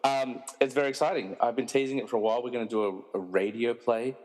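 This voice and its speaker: A man's voice: medium pitch, fairly even intonation, fast talker, a little mellow, soft background music. A man hosting in a casual, chatty way with jokey, self-deprecating asides. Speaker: podcast host. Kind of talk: casual chat. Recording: long echoing room reverb.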